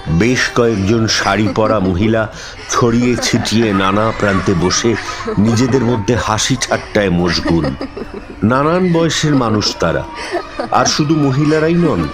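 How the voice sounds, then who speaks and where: A man narrating a scripted ghost story in a dramatic, suspenseful style with measured phrasing. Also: fairly low pitch. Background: instrumental music.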